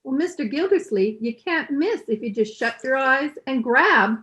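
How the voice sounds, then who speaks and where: An actress playing a sassy, good-humored housekeeper with folksy wisecracks in an old-time radio comedy, slightly muffled. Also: female voice, high-pitched, animated, moderate pace, fairly bright tone. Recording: slightly muffled online-call sound.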